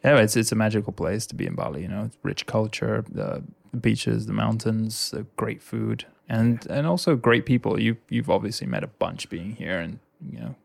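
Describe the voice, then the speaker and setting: A man's voice: on the low side, normal speed, vocal fry, few pauses. A young man in his twenties from Indonesia, a podcast guest telling his story in an easygoing, chatty way. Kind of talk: casual interview chat.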